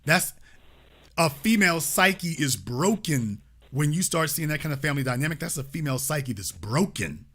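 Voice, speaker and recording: A man's voice: a little high, sing-song, normal speed, sharp tone, breathy edge. A man hosting a call-in show, lecturing in an emphatic way. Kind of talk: talk radio commentary.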